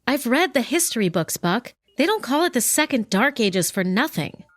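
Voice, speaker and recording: A worried loyal heroine. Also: female voice, a little high, sing-song, normal speed. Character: loyal anxious young woman Wilma, sidekick.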